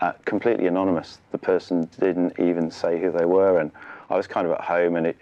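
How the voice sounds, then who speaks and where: A man's voice: low-pitched, darkish tone. A man in middle age. He answers interview questions conversationally, with earnest enthusiasm. The studio sound is a little muffled. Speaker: interview guest, charity sailor. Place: television studio.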